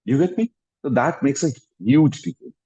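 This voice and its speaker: A mellow, slightly husky man in his fifties speaks with an Indian English accent. He answers interview questions at length, restarting phrases and lifting his voice for emphasis. The sound comes through dull, lo-fi video-call audio. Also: medium pitch, animated, normal speed. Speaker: guest actor.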